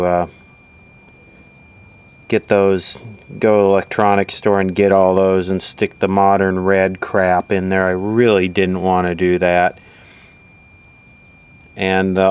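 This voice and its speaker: A man giving casual hands-on repair commentary, thinking aloud in an offhand, low-key way as an electronics hobbyist. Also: clear, resonant tone. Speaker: electronics repair hobbyist presenting his own video.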